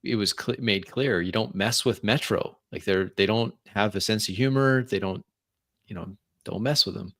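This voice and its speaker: A man with a lowish voice talks informally as one player among others. He is quick to agree and affirm. The recording is dry and free of room sound. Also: fairly bright tone, dry recording. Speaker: tabletop roleplay player. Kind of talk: casual post-game chat.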